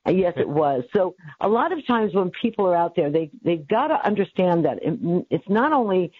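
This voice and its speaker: A woman in her seventies with a low, bassy, husky voice, rambling through her answers with plenty of fillers and easy laughs. She comes through a tinny, phone-like line that sounds dry and close. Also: big pitch swings, normal speed, no echo. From New York City. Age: 70s. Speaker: guest, radio network founder. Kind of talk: casual interview over the phone.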